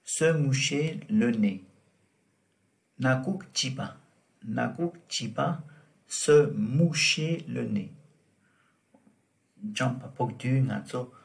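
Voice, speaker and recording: A man teaching French vocabulary, slow and deliberate, repeating each word and example as he explains it. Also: mid-range voice, animated, creaky. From Tibet. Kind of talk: vocabulary lesson explained in Tibetan.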